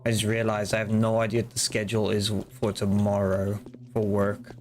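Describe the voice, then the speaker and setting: A man's voice: lowish voice, completely flat delivery, normal speed, noisy background. A man streaming a game live, chatting loosely and rambling to his viewers and to himself. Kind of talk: casual live-stream chat while playing.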